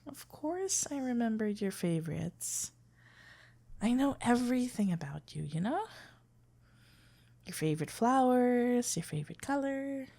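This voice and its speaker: Slow-paced, higher-voiced playful florist played by a woman. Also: animated, gravelly, dark tone, heavily acted. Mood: fond, teasing. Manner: playful, awkward, hesitant with hums and stammers. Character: sweet, flustered florist best friend, secretly in love. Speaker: ASMR roleplay voice performer. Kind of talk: ASMR roleplay acting, one-sided dialogue.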